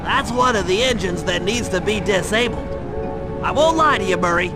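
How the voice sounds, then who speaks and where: A man's voice: high-pitched, expressive, normal speed, over loud music. A man voicing a brainy, earnest young turtle tech strategist in a cartoon video game, nasal and precise in a wordy, explanatory way. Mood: earnest, instructive.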